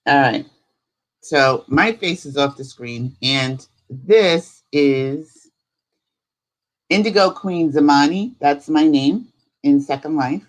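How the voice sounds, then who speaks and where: Female livestream host, slow pace, casual and rambling delivery, informal chat with viewers. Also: low voice. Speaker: host of a live spirituality stream.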